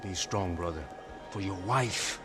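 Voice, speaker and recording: Composed, reassuring rich Brazilian brother. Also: male voice, low voice, unhurried. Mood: consoling. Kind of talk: cinematic video game acting. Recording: music behind the voice.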